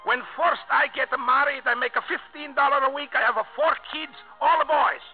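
A man's voice: extremely high pitch, fairly even intonation, normal speed. An actor playing a domineering older Italian immigrant father in a radio drama, with an Italian accent and blunt, mocking broken English. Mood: indignant, mocking. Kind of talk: 1950s radio drama acting. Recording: muffled 1950 radio broadcast, music behind.

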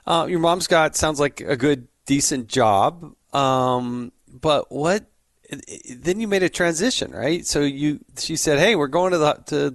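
A middle-aged man interviewing a guest, asking questions in a halting way with many repeated words. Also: medium pitch, dry recording, studio quality. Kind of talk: conversational interview.

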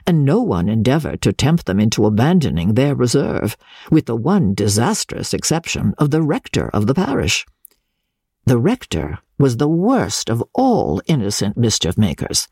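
Female narrator for literary audiobook: deep, mellow, husky tone, deliberate formal phrasing, dramatic delivery. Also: no echo, clean studio sound. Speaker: audiobook narrator and radio reader. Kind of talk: dramatic scripted reading of classic literature.

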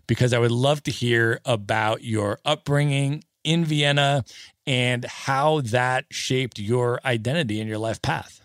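A man's voice: mid-range voice, a bit bright, dry recording, clean studio sound. A man hosting a podcast interview, asking questions in an enthusiastic, friendly way. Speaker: podcast host. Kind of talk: interview questions, casual chat.

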